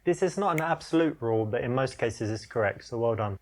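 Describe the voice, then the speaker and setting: Man playing a British dating coach, video game, self-assured, relaxed, mid pitch. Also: a little fast, close to an everyday voice. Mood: advising. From London, England.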